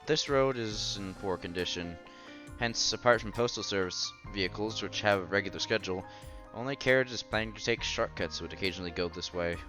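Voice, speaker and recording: A man's voice: lowish voice, a little fast, pushed and bright. A man reading a visual novel aloud as narration, sometimes stumbling over words and restarting. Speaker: host of a visual novel read-through. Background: music.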